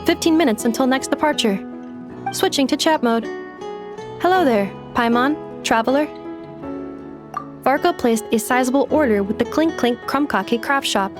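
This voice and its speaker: A woman's voice: high voice, moderate pace, long pauses, over music, lightly acted. Woman voicing a polite, formal delivery robot. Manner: formal, precise, status-report phrasing.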